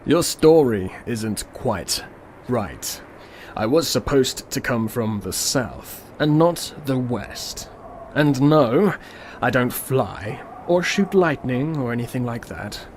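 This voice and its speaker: A man acts out an ancient-evil destroyer for a radio drama. He takes his time over each phrase, smug and menacing in a mid-pitched male voice. Noise sits behind the recording. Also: lively intonation, a little mellow. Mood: calm, ominous. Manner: unhurried, menacing, condescending. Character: calm, smug destroyer demon, world-ending evil being. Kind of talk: old-school radio drama acting.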